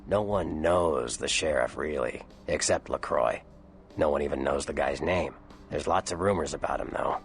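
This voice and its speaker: Very deep, gravelly American vampire informant. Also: male voice, moderate pace. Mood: guarded. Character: cynical, sly male Nosferatu vampire informant. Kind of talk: video game character dialogue.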